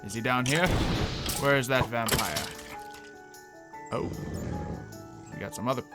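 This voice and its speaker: A man's voice: mid-range voice, measured pace, loud music behind the voice. A man doing casual gameplay commentary with some light roleplay, rambling and thinking aloud as he goes. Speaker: gaming video host.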